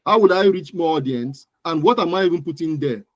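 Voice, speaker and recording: A man's voice: fairly high pitch, a little fast, no echo, lo-fi sound. Nigerian man explaining, very dry sound. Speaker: guest expert, digital marketer. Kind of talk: informal explanatory interview talk.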